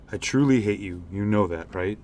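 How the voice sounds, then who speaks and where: A man's voice: fairly low pitch, takes it slowly, slight background noise. Exasperated skeptical guy acted by a man. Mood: annoyed. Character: exasperated skeptical young straight man of duo. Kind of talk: comic radio theatre acting.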